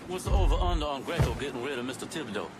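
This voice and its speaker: An actor playing an eager, ambitious low-ranking mobster in a video game, slangy and casual. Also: male voice, animated, rapid-fire, lightly acted. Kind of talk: video game cutscene acting. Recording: loud music over the voice.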